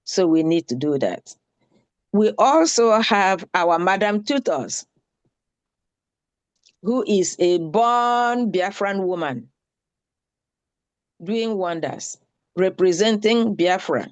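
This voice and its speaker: A woman makes an earnest, pleading appeal at an online town hall. She repeats her points for emphasis. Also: mid-range voice.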